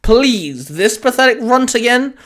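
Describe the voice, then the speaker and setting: A man's voice: very high voice, lively intonation, unhurried, fairly bright tone, voice pushed up, exaggerated voice. A man voicing a scornful young villain who snarls and mocks in a story reading. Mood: mocking. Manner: snarling, mocking, cackling. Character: sneering boy villain, scornful young Pokemon battler.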